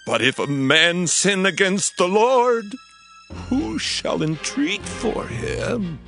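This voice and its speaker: An actor playing a very old, frail priest and grieving father, speaking slowly and haltingly in a dramatized Bible reading with music behind him. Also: high voice, animated, heavily acted. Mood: grieved reproach. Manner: slow, halting, drawn-out delivery. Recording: loud music under the voice.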